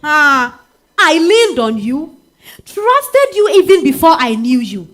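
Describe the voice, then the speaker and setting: A woman's voice: high-pitched, slow, heavily acted. A Ghanaian woman playing a spirited married woman telling her love story in a dramatized stage reading, with conversational interjections. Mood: wistful, animated. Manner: expressive, conversational interjections like eh and hmm. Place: live literary festival stage reading.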